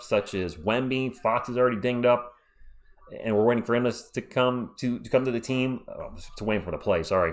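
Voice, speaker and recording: Casual man hosting a live sports stream. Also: medium pitch. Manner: chatty, reads viewer comments aloud. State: voice worn out. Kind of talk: casual live stream chat reading comments.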